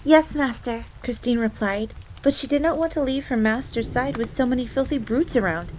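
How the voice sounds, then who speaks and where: An excitable fox maid acted by a woman tells her tale in a theatrical, fairly high voice. She is devoted and speaks of herself in the third person, as in an audio roleplay. Light ambient noise sits behind her. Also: moderate pace, natural voice, low-quality recording. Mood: devoted, proud. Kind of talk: in-character storytelling audio roleplay. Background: background ambience.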